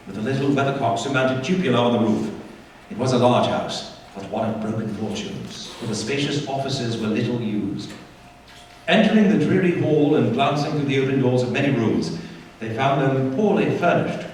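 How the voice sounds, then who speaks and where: A man narrating a radio play as a storyteller, with theatrical, deliberate phrasing. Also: medium pitch. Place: theatre stage. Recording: echoey theatre hall, distant mic, faint noise.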